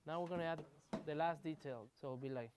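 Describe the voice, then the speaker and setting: A man teaching a music workshop, counting in the students and singing drum patterns aloud in an animated way. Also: on the high side, fast talker, very noisy.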